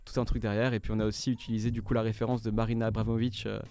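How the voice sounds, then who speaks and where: A man's voice: lowish voice, flat delivery, very fast, warm tone. A young man from French Guiana, a musician guest on a radio interview, talking hesitantly with many fillers and restarts.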